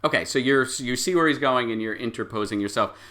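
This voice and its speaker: A man running a tabletop game as game master, improvising scenes and bantering in a jokey, animated way. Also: medium pitch, fast talker.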